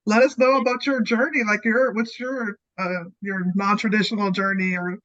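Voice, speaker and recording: A podcast co-host with a bright, forceful voice asks questions haltingly, stumbling through fillers and quick affirmations. The sound is dull and muffled. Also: medium pitch, fairly even intonation, dry recording. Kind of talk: casual interview chat.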